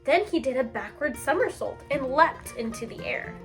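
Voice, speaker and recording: A woman with a high voice reads aloud for young children. She has a teacher's expressive storytelling delivery and addresses her listeners directly. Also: normal speed, over music.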